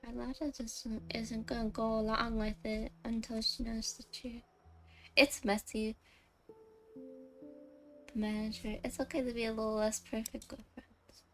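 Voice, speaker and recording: A woman's voice: a little high, flat delivery, unhurried. A young woman streaming a game, chatting playfully and giggling over the cutscenes. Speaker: VTuber streamer. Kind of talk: casual live-stream chatter. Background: game music.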